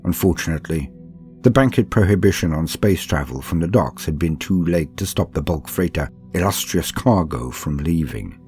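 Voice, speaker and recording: A man reading scripted narration like an audiobook, in a calm, steady, measured manner. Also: low voice. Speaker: narrator reading a campaign book. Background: faint background music.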